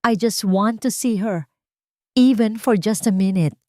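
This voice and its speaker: A woman's voice: mid-range voice, measured pace, mellow, no echo, clean studio sound, voice pushed up, natural voice. A woman with a Filipino accent voicing a worried father who pleads insistently in a dramatic story. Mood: pleading, worried.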